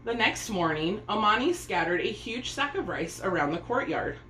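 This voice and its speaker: A woman's voice: mid-range voice, expressive, moderate pace, sharp tone. A woman reading a children's story aloud, narrating expressively in a room with echo and faint noise. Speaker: storybook reader.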